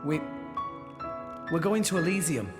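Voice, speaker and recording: Despondent young hero, teenage boy, high-pitched, unhurried, video game drama, background music. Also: expressive. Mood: wistful.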